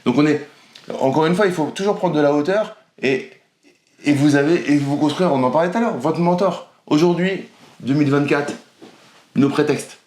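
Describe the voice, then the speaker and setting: A man's voice: on the high side, moderate pace, darkish tone. A man hosting a casual podcast interview, asking rambling and hesitant questions with frequent stammers. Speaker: podcast host. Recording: roomy echo.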